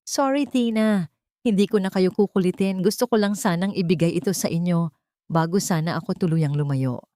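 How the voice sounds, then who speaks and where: Remorseful, humble husband voiced by a mellow Filipino woman. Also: fairly low pitch, normal speed, no room sound, studio quality, natural voice. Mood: apologetic.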